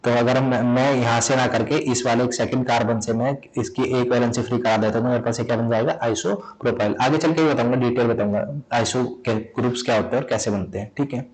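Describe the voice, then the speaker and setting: Male chemistry tutor from India, mid-pitched, sore throat, repetitive question-and-answer teaching. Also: fairly even intonation, a little fast, hardly any pauses, a little mellow, rough recording. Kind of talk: explaining a lesson.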